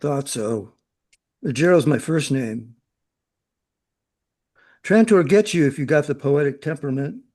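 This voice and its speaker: Guy acting as a chatty worldly man in a sci-fi radio play, kinda high voice, breezy, knows it all. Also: lively intonation, unhurried, pauses often, a little mellow. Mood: breezy, knowing. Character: chatty, knowing, worldly man sounding out a newcomer.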